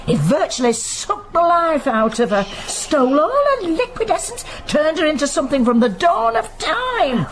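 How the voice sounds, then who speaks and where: An actress playing a sharp, suspicious landlady who talks in an animated, indignant, gossipy way. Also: sits high, moderate pace, hardly any pauses, a little hiss or hum, low-quality recording. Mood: worried. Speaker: narrator and landlady. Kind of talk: audio drama acting.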